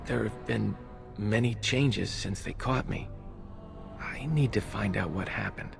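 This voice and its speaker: A bewildered, exhausted captive from a fantasy game. His lowish voice creaks heavily as he speaks haltingly, with music clearly behind him. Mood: weary, halting. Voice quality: gravelly. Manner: halting, hesitant. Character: weary, bewildered male prisoner freed from dungeon. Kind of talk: video game cutscene acting.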